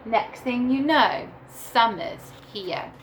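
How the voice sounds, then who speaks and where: A woman teacher reading a picture book aloud to young children in a deliberate, animated storytelling way. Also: on the high side, background noise. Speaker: primary school teacher reading to her class.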